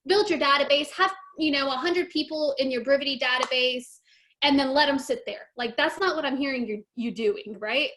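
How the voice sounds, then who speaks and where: High-voiced enthusiastic woman host. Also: fairly bright tone. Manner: enthusiastic, chatty, says like a lot. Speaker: interview host. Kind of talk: casual interview.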